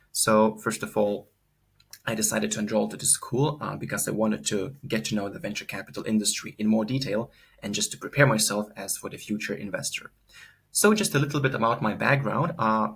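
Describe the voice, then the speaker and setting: A man's voice: lowish voice, gravelly, fairly bright tone, breathy edge. A young man from Lithuania, giving an earnest, unscripted course testimonial full of fillers and repeated words.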